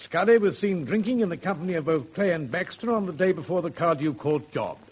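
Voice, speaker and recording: An actor playing a gruff, scolding police detective sergeant in a radio drama. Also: male voice, fairly high pitch, expressive, brisk, dull audio, slight background noise, lightly acted. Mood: businesslike.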